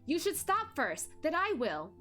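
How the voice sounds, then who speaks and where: A woman voicing a brash young male disciple with an excitable, easily flustered manner. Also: very high-pitched, measured pace, soft background music, voice pushed up, full character voice. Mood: defiant.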